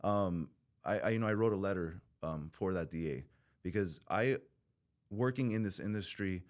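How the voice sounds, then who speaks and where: A man with a very low, deep voice talks frankly on a podcast, swearing without hesitation. His sentences wander and restart as he works through his thoughts. He is from Southern California. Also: moderate pace. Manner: blunt, swears freely, rambling with restarts. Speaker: podcast guest in recovery. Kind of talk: candid podcast conversation.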